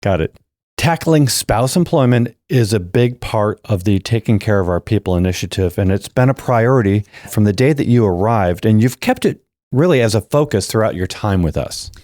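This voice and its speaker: A man hosting a podcast interview, asking steady, prepared-sounding questions. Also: on the low side, normal speed, no echo. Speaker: podcast host.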